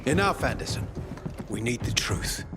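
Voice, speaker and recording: An actor playing a firm, measured military commander who speaks with controlled authority in a video game cutscene. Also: male voice, mid-range voice, big pitch swings, over music, close to an everyday voice. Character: commanding male officer, firm, measured, newly in charge.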